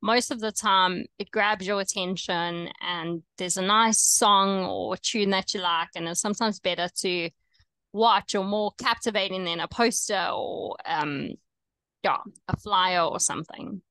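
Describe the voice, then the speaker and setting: A young woman with a South African accent, coaching online teachers over a video call in a relaxed, chatty way with frequent ums. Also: medium pitch, a little slow, smooth, no echo. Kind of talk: webinar presentation.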